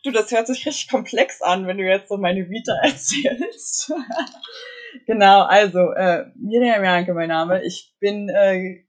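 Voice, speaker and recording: A woman's voice: mid-range voice, a little slow. A young woman from northern Germany, a tech founder and podcast guest, talking energetically with frequent fillers, restarts and English buzzwords. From Mecklenburg, Germany. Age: young adult. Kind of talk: conversational podcast interview.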